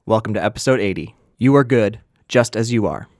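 A man's voice: on the low side, brisk. A man hosting a podcast in a studio, friendly and casual with frequent "uh" fillers. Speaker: podcast co-host.

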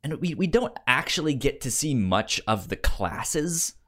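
Halting man in casual discussion. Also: mid-range voice, very animated, pushed and bright. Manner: halting, stammering on repeated syllables and words. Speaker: podcast co-host discussing comics. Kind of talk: casual book discussion chat.

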